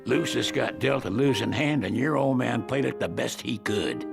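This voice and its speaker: Gravelly, folksy old man. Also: medium pitch, expressive, normal speed. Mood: wistful, blunt. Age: older. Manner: folksy, plain-spoken. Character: gruff old mechanic, drawling, plain-spoken old friend. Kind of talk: video game cutscene acting. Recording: music under the voice. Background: loud background music.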